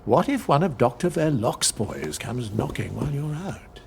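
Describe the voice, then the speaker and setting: An actor playing an older general in a video game, theatrical and insinuating, halting in his delivery, possessive and sly. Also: mid-range voice, very animated, gravelly, a little mellow, a little hiss or hum. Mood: bitter, menacing. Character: menacing, possessive old general, sly and wistful. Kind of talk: dramatic video game acting.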